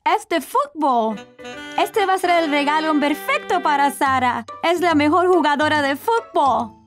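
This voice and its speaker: A curious little cartoon boy, extremely high-pitched and full of childlike excitement. The accent is Latin American Spanish, and music runs underneath. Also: a little slow. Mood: earnest, eager. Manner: wide-eyed, childlike. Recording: music behind the voice.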